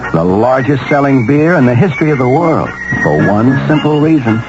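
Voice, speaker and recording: A male announcer delivers a seventies commercial with deliberate emphasis and wide inflection. Music plays behind him, and the old radio sound is dull. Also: mid-range voice, sing-song. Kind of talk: 1970s beer ad read.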